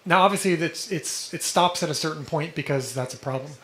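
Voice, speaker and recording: A man teaching a study group, explaining texts and often checking in with listeners, a little hoarse and clearing his throat. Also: on the high side, brisk, a bit bright. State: slightly hoarse, coughing. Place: indoor room with a vent. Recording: echoey room with background noise.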